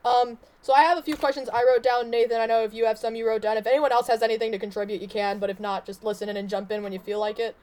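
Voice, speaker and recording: A woman's voice: a little high, level tone, racing, few pauses, a little mellow. A woman recovering from a cold, casually moderating a discussion with hesitant fillers.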